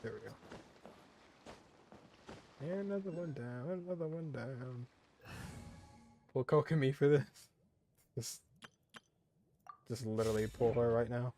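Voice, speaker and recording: A man's voice: medium pitch, unhurried, pauses often, warm tone, slight background noise. Casual man commentating on a game. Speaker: gamer playing and reading aloud.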